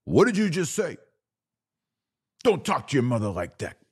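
Stern dad acted by a male podcaster: raised pitch over a deep natural voice, commanding, clipped, scolding. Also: wildly swooping pitch, moderate pace, breathy edge, full character voice. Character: stern scolding father of teenage boys.

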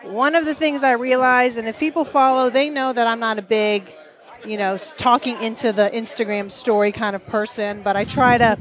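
A woman co-hosts a podcast from a trade show booth, and her fairly high voice runs chatty and upbeat. She keeps the talk loose, leaning on fillers as she goes. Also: fairly even intonation, normal speed, fairly bright tone. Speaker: podcast co-host. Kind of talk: casual chat.

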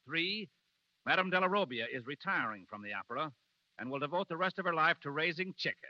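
A man's voice: on the high side, animated, quick pace, no room sound, natural voice. An actor playing a brash, scheming publicity man with an emphatic, businesslike bark in a 1930s radio drama. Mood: brisk. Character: brash, fast-scheming male publicity agent. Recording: dull lo-fi 1930s radio recording.

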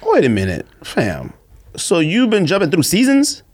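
A man's voice: sits high, wildly swooping pitch, normal speed. A man hosting a podcast in a casual, slangy, teasing way, like chatting with a friend. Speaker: podcast host. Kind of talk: casual banter.

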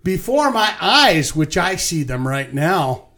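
A man's voice: high voice, sing-song, takes it slowly, bright, forceful tone. A man hosting a casual podcast interview, hyped and jokey, swearing freely. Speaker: podcast host.

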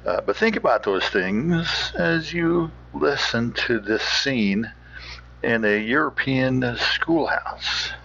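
An American man giving halting, unscripted commentary as a podcast host. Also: mid-range voice, expressive, gravelly, pushed and bright, muffled recording, slight background noise.

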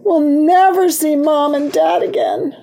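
A woman voicing an excitable, tender-hearted young girl in a children's storybook, with emotional delivery. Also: a little high, a little slow, slight background noise. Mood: upset.

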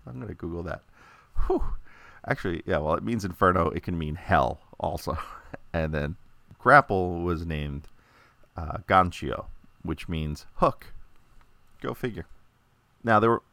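Very deep-voiced man, chatty and dry. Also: expressive, measured pace, creaky, pauses often, bright, forceful tone, dry recording. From United States. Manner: chatty, many "uh" fillers, lightly joking. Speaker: toy collector presenting a video. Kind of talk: casual informational monologue.

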